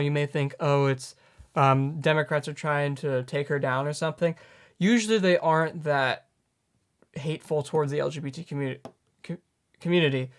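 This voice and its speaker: Man, late teens, news commentary to camera, slightly high voice, earnest, self-correcting. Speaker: news host.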